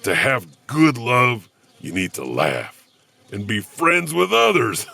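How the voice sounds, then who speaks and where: A man playing a lonely, theatrical storyteller in a horror audio drama, gravelly and halting. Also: medium pitch, huge pitch swings, sharp tone, exaggerated voice. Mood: wistful. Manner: theatrical, halting, chuckling between thoughts. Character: lonely, theatrical storyteller janitor who loves Shakespeare.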